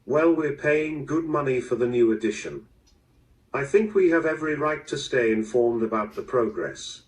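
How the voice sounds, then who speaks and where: A man's voice: medium pitch, moderate pace, lightly acted. An actor playing a composed, proper man of a wealthy family in a mystery audio drama, speaking formally and politely. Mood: smooth, firm. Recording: distant, played through a small speaker.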